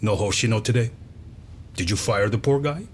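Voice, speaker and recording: A man's voice: fairly low pitch, moderate pace, very noisy, lightly acted. A man voicing a smug, sarcastic prisoner in a video game, theatrical and mocking in his delivery. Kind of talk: English video game dub acting.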